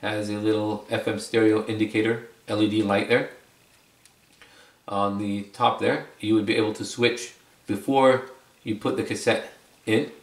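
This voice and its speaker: A man's voice: lowish voice, level tone, moderate pace. A man giving a casual, unscripted product demonstration in a conversational, explanatory way, repeating phrases for emphasis. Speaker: product reviewer and video presenter. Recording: roomy echo, distant microphone.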